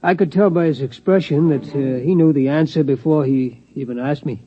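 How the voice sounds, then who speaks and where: An actor in an old radio drama playing a humble, folksy security guard who speaks hesitantly and deferentially. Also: male voice, on the high side, brisk, natural voice. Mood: wry, confiding. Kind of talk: 1950s radio drama acting and narration. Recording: dull vintage radio recording with music.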